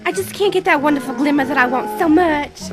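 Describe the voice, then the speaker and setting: An actress playing an earnest shampoo customer in a parody old-time radio commercial. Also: female voice, sits high, quick pace, lightly acted. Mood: longing. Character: earnest female shampoo-ad housewife testimonial. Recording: music under the voice.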